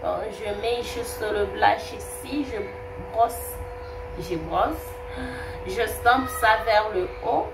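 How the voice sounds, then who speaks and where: A woman's voice: mid-range voice, slow pace, vocal fry, music in the background. A young woman with a cold, chatting through a makeup tutorial and often repeating herself. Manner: chatty, repeats words, many fillers. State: has a cold. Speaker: beauty vlogger. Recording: roomy, distant, noisy home recording.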